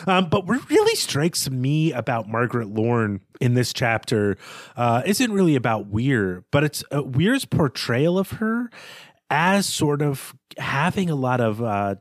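A man's voice: mid-range voice, big pitch swings, measured pace, no room sound, clean studio sound. A man co-hosting a book podcast, thinking aloud in an informal, analytical way. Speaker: podcast co-host discussing a novel. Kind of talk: analytical conversational book discussion.

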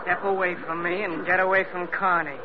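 An actor playing a defiant, hot-tempered ship's officer with hard, clipped delivery in a vintage radio drama. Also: male voice, high voice, level tone, fairly quick, close to an everyday voice. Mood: threatening, defiant. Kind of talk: 1940s radio drama acting. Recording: muffled, hissy old radio recording.

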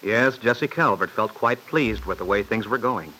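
A man's voice: on the low side, moderate pace, pushed and bright, slight background noise, rough recording. A man narrating an old-time radio soap opera from a script, as the storyteller between scenes.

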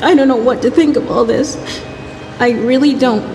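A woman's voice: sits high, moderate pace, darkish tone, a little hiss or hum. A woman playing a worried, searching woman in love in an audio drama, earnest and emotional. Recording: echoey room. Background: music.

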